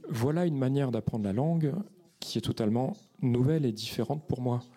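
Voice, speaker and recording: A man's voice: mid-range voice, dark tone. A man moderating a literary panel discussion, asking long, careful questions with frequent hesitations. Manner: measured, hesitant with many fillers.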